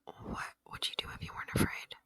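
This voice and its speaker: A woman voicing a gentle, lonely alien in an ASMR roleplay, whispering slowly and intimately in a soothing, reflective way. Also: no echo, close to an everyday voice. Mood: tender, reflective. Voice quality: breathy whisper. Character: gentle, lonely alien abductor, curious about humans. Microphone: close, dry microphone.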